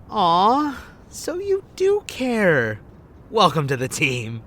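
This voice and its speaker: A man's voice: high voice, huge pitch swings, extremely slow, a bit bright, slight background noise, exaggerated voice. An actor playing a smug, teasing male secret agent in an anime-style audio drama. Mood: teasing, confident. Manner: teasing, cocky.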